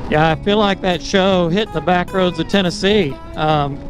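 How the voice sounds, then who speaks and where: A man with a fairly high voice talks loosely while he rides a motorcycle, thinking aloud and chuckling at his own jokes. Music plays clearly behind him. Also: normal speed. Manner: rambling, self-amused, thinking aloud. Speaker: motorcycle vlogger. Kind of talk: casual ride commentary. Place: on a motorcycle on mountain roads.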